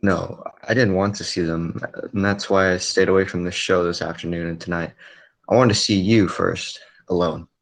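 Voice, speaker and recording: A man playing a quiet, lovelorn but stubborn circus man in a 1940s radio drama, hesitant and repeating himself. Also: low-pitched, steady tone, moderate pace.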